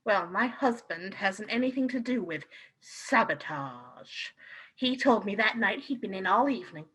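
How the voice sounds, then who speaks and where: An actress in a radio play playing a loyal, spirited and protective London wife, in a theatrical style. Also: female voice, mid-range voice, expressive, a little slow, fairly bright tone, husky, low-quality recording. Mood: indignant, defensive.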